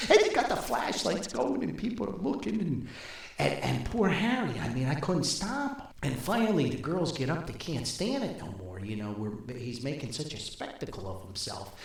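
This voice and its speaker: A very roomy, echoing recording of an older man telling comic anecdotes as a podcast host. His pitch is mid-range and swings widely, with a creaky edge. He has a folksy manner and plays out each bit of dialogue as he goes. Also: big pitch swings, normal speed. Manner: folksy, chuckles often, acts out dialogue. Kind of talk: humorous anecdotal storytelling.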